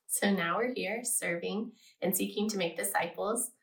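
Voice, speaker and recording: A woman's voice: medium pitch, normal speed. Missionary woman from Kansas, echoey video. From Kansas, United States. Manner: earnest, scripted. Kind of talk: recorded video message. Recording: echoey, distant video audio.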